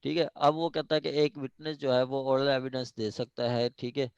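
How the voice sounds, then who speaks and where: A man with a Pakistani accent giving an informal law lecture, explaining step by step and reading formal passages haltingly. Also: medium pitch, fairly even intonation, darkish tone, smooth, no echo, highs cut off. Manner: switches between reading formal English haltingly and explaining conversationally. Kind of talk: informal lecture explaining a legal text clause by clause.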